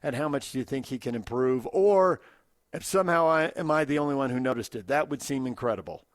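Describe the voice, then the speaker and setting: A man speaks in a medium-pitched voice with a dry, close studio sound. He carries the talk as a sports radio host, conversational in delivery. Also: fast, no echo.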